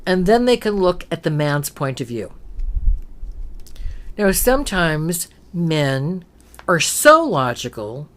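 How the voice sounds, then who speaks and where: Low-pitched female talk host, emphatic and preachy, fond of rhetorical questions. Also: sing-song, slow, long pauses. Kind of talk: opinionated spiritual talk.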